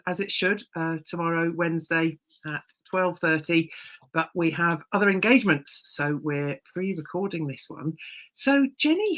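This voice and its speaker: A woman's voice: medium pitch, fairly bright tone. A woman co-hosting a casual chat podcast as a coach, talking in a friendly way with uhs and restarts.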